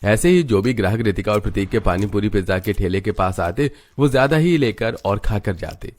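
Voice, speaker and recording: A man's voice: lowish voice, animated, a little fast, a little mellow, no room sound. A man narrating a scripted moral story as its storyteller.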